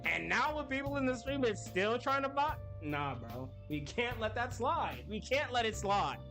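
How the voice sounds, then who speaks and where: A man's voice: very high voice, very animated, moderate pace, bright, forceful tone, faint music behind. A man streaming a game, chatting casually with his viewers in a rambling way. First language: English. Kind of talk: casual live-stream chat while gaming.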